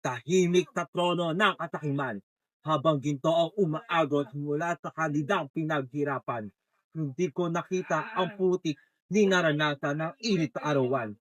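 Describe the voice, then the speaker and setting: Guy doing a boastful crocodile tyrant, greedy, theatre recital, slightly high male voice, dry sound. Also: moderate pace, a bit bright, no room sound, natural voice, low-quality recording. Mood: gloating. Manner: declamatory, boastful. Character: greedy tyrant crocodile ruling over farmers' harvest. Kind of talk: reader's theatre verse recital.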